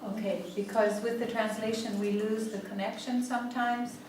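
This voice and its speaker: A woman's voice: fairly high pitch, steady tone, normal speed. A woman in her sixties, a native Greek speaker with a Greek accent, leading a family therapy seminar in a hall. She lectures with many "um"s and checks in with "yeah?". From Greece. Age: 60s. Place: seminar room. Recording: very echoey room, far from mic, noisy.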